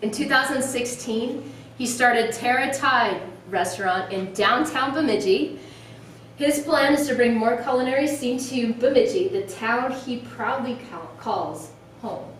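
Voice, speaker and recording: A woman's voice: fairly high pitch, measured pace, a bit bright. A woman hosting a meetup gives a welcome speech and introduces a speaker, partly reading. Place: indoor meetup room. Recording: very echoey room, distant mic, faint noise.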